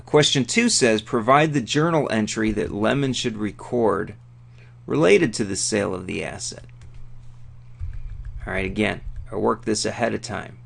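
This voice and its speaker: A man with a gravelly, creaky voice giving an informal tutorial as an instructor, explaining conversationally and thinking aloud. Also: lowish voice, fairly bright tone. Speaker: accounting instructor. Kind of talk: informal tutorial, walking through a worked problem.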